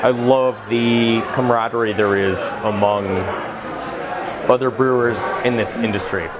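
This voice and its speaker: A man's voice: medium pitch, steady tone, unhurried. A man from Maine, a brewer speaking enthusiastically and candidly in a documentary interview.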